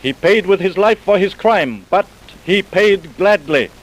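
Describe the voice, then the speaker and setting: A man's voice: high voice, lively intonation, unhurried, rough recording. A man narrating a crime drama as a solemn storyteller, in formal old-time radio style. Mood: solemn, storytelling. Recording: vintage radio broadcast recording.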